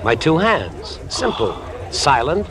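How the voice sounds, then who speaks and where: Suave, unsettling young man, lively pitch. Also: slow. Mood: smooth, sinister. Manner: glib, polite, insinuating. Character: suave, eccentric young man, charming, intrusive, morbidly keen on murder. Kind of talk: 1950s radio drama acting. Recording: vintage radio broadcast, road noise. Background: car driving sounds.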